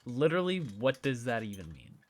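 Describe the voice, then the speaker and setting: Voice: medium pitch, very animated, fairly quick, a little hiss or hum, lightly acted. An actor in an audio drama playing a casual, curious young friend who talks in a questioning, sometimes hesitant way. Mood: puzzled. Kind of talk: naturalistic audio fiction dialogue.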